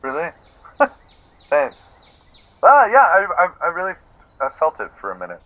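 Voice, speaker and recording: An actor playing an eager, earnest out-of-work actor in a comedic audio drama, excitable and halting, taking himself very seriously. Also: male voice, fairly high pitch, no echo, phone-quality sound. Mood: excited. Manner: excitable, halting, self-serious about acting.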